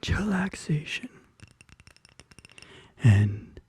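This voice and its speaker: Whispery, very dark-toned ASMR bedtime reader. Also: medium pitch, big pitch swings, drawn-out words, slightly rough. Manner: intimate, whispering and soft murmuring. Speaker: ASMR video creator and host. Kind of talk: soft-spoken ASMR bedtime story. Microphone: close studio condenser microphone.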